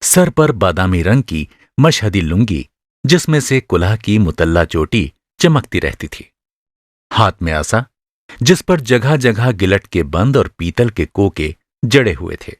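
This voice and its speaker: A man's voice: lowish voice, very animated, no room sound, clean studio sound. A man narrating a literary short story for radio drama in a scripted, dramatic storytelling style.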